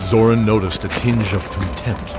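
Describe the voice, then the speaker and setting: A man narrating a fantasy audio drama in a measured, scripted way. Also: fairly low pitch, fairly even intonation. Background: dramatic music score.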